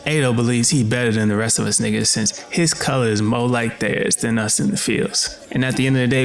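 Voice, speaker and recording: A man's voice: mid-range voice, natural voice. An actor playing a sorrowful, reflective enslaved son narrating his own story with a Southern US accent. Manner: solemn, measured storytelling. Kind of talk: first-person dramatic narration.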